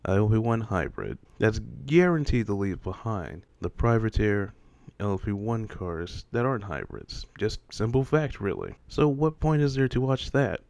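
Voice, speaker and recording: Guy w a lowish voice doing casual opinion vid, kinda exasperated, lots of rhetorical questions, dry sound no room echo. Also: sing-song, normal speed, gravelly, darkish tone. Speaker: host of a motorsport opinion video.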